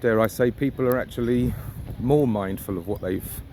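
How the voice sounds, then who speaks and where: A man's voice: medium pitch, moderate pace, a little mellow. A man giving a casual, reflective walking monologue as a vlogger, musing and posing rhetorical questions. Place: outdoors on a riverside walk. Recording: outdoor ambient noise, birds and traffic.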